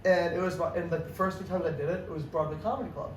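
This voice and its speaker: A young man with a high voice tells a story in an excitable, rambling way, full of restarts and "like"s. He sits back from the mic in an echoey room, and faint noise hangs behind him. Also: quick pace, distant mic. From New York City area. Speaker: podcast guest, comedian. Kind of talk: casual storytelling chat.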